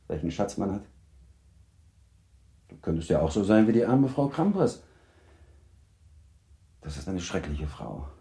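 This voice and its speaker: An actor playing a much older baron in a radio play, a teasing, possessive husband with a measured, formal, gallant manner. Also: male voice, low voice, vocal fry, mellow, reverberant, heavily acted. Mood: quietly insistent. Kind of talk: German radio play acting.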